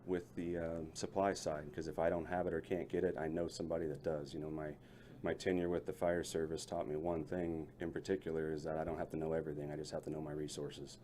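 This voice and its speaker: A man's voice: a bass voice, level tone, fast talker, hardly any pauses, mellow, a little hiss or hum. A middle-aged man, a local business owner, chatting in a radio interview in a folksy, rambling way, often restarting his sentences. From Lebanon, Missouri area. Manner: folksy, rambling, self-correcting.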